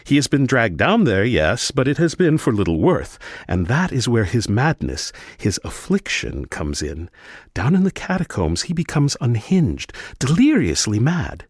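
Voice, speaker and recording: An actor playing a smug, eccentric asylum doctor in a gothic radio drama, genteel and theatrical. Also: male voice, mid-range voice, huge pitch swings, a little slow, husky, no room sound, clean studio sound, heavily acted. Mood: smug explaining. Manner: theatrical, genteel, gleefully pompous. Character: eccentric, smug asylum doctor showing off his mad patients.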